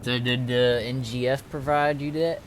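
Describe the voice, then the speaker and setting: A man's voice: medium pitch, fairly even intonation, normal speed. A man joking around casually with other players over game voice chat. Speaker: online roleplay game player. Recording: faintly noisy game voice chat.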